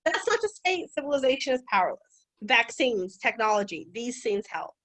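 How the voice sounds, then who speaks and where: Woman, lecturer, conversational, animated, wide pitch. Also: a little fast, low-quality recording. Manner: animated, conversational, occasional restarts. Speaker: guest lecturer. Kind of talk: public talk on ancient history.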